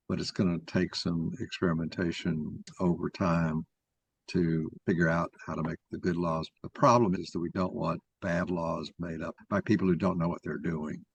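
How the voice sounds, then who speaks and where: A man's voice: sits low, creaky, slightly rough, dry recording. A man giving an explanatory talk in an opinionated, didactic way, taking questions as he presents his argument.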